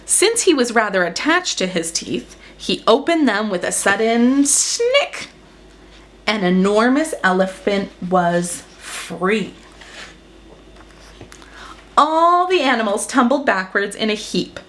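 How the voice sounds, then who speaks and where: A woman's voice: medium pitch, big pitch swings, slow, fairly bright tone. A woman reading a children's picture book aloud as a storyteller, with dramatic emphasis on action words. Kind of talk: expressive children's story narration.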